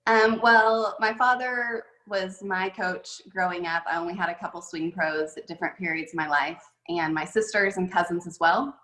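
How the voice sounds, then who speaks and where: A woman's voice: on the low side, normal speed, few pauses, sharp tone. A woman head golf coach telling stories in a casual, chatty way with many fillers, over a video call. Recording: echoey room on a video call.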